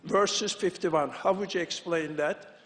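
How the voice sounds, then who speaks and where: Turkish-accented male questioner, insistent and halting, slightly high, reverberant hall. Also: steady tone, a bit bright. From Turkey. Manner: insistent, halting non-native English. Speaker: audience questioner. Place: lecture hall. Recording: echoey lecture hall.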